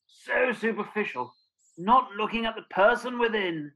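A British man playing a courtly, softhearted country squire in a theatrical radio pantomime. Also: sits high, moderate pace, low-quality recording. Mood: earnest, smitten. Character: country squire, pompous gentleman suitor turned softhearted.

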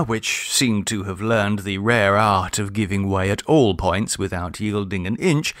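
A man reads classic literary fiction as an audiobook narrator. His medium-pitched voice is measured and expressive, with formal storytelling phrasing. The recording is close and dry. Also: no room sound.

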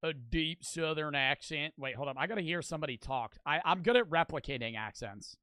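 Jersey guy streaming, high bright voice, crude jokey chat, super dry sound. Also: a little fast, no room sound. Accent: New Jersey. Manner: blunt, crude, self-mocking. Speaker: streamer reacting to a video. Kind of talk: casual live-stream chat.

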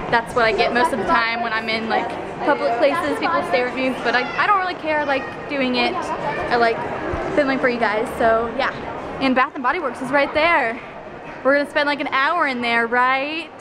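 A young American woman vlogging in a loud, rambling, informal way as she walks and chats to a handheld camera. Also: on the high side. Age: young adult. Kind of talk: casual chat to camera while walking. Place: large indoor shopping mall, walking. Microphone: handheld camera at arm's length. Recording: noisy, busy shopping mall crowd.

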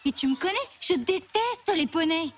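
A woman's voice: very high-pitched, animated, normal speed, slight background noise. A woman voicing a flippant, self-satisfied teenage girl in a French children's cartoon. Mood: sulky, offhand. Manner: dismissive, breezy, self-satisfied. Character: cocky, flippant teenage schoolgirl trainee.

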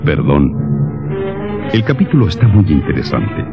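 A man's voice: a bass voice, very animated, moderate pace. A man announcing an old radio advertisement in a theatrical, showy way, on a dull recording with music.

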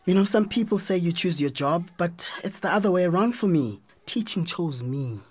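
A South African woman playing a proud, pleading transgender schoolteacher with a husky voice in a radio drama. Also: lowish voice, lively intonation, normal speed, a little mellow. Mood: proud, earnest. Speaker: actor.

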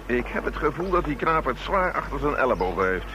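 An actor playing a calm, methodical police inspector in a radio drama, measured and matter-of-fact. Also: male voice, fairly high pitch, noisy background. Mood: thoughtful.

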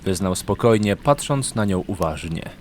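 A man's voice: low voice, animated, normal speed, background noise. A man narrating a scripted fantasy story as an audiobook narrator. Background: background music.

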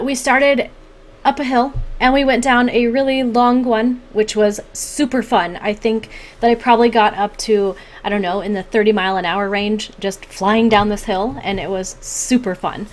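Chatty, higher-voiced woman vlogging at home. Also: fairly even intonation, moderate pace. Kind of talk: casual chat to camera. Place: small home room, indoors.

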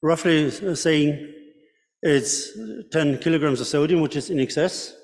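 A middle-aged man answering technical questions at a conference, explaining piece by piece with hesitant, deliberate phrasing. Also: on the high side, fairly even intonation, a little mellow. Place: large ornate hall, indoors. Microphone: podium microphone. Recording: reverberant conference hall.

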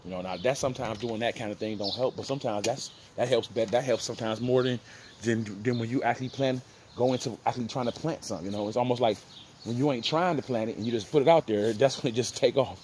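A man's voice: medium pitch, quick pace, a little mellow. An American man chatting casually and thinking aloud in a folksy, repetitive way as he vlogs his gardening. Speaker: home gardener vlogger. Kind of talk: casual rambling outdoor vlog chat. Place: outdoors in a backyard garden. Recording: noisy outdoor phone audio, uneven distance. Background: birds and outdoor ambience.

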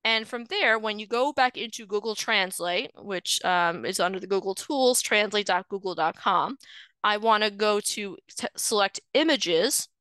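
A woman's voice: mid-range voice, normal speed, bright, forceful tone, smooth, no room sound. A woman genealogist giving a screen-share lecture, explaining step by step with frequent ums and uhs. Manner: explanatory, friendly, with frequent um and uh fillers. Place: indoors, voice over shared slides.

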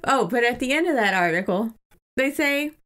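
A woman's voice: on the high side, expressive, moderate pace, a bit bright. A woman co-hosting a podcast, chatting casually about a book. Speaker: podcast co-host.

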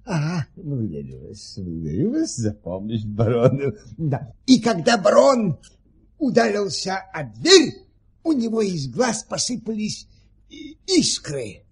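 A flustered marquis from a radio play, with a high voice that swoops wildly. He stammers and dithers, fawning effusively as he spins his tale. The tone is husky and theatrical. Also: wildly swooping pitch, a little slow, heavily acted. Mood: grateful, apologetic. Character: flustered, forgetful, fawning marquis, an eager storyteller.

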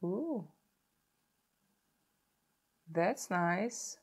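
A woman's voice: on the low side, lively intonation, very slow, husky. A woman in her thirties with a sore throat, chatting through a makeup tutorial and stopping often to correct herself. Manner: chatty, self-correcting, stops to show products. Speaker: beauty vlogger. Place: indoor home filming set.

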